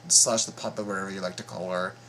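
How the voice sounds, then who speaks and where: A man giving casual reaction commentary, explaining things in a halting, repetitive way. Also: low voice, little pitch movement, a bit bright, slight background noise. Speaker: reaction video host.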